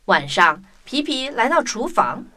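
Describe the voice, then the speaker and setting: A woman narrating a scripted children's story in an expressive storytelling manner. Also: a little high, measured pace. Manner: expressive storytelling with sound imitations. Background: music.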